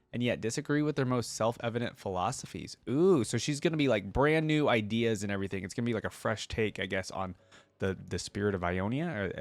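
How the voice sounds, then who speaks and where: A man's voice: on the low side, dry recording. A man hosting a casual reaction video, talking conversationally and reading lore aloud into a close microphone. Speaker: YouTube host reacting to game lore.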